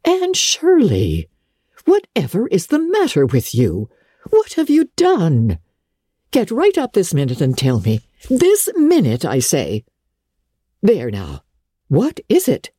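A woman voicing a stern older spinster guardian who scolds briskly and sarcastically. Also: on the low side, huge pitch swings, warm tone, slightly rough, no echo, clean studio sound, pitched above the performer's own voice, heavily acted. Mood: alarmed. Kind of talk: audiobook character reading.

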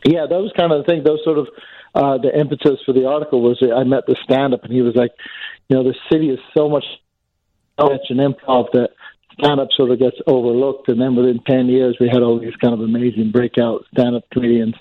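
A comedian guest who grew up in Northern Ireland and England, rambling in a self-deprecating way with plenty of fillers. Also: male voice, mid-range voice, little pitch movement, quick pace, dry recording. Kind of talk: casual phone interview. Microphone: phone. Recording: tinny phone line.

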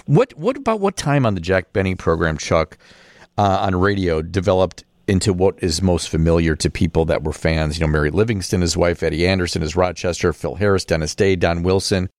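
An American man hosts a radio interview in a deep register. The sound is dry and studio-close, and his delivery is that of a broadcast presenter. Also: lively intonation, a little fast, no echo. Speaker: radio host.

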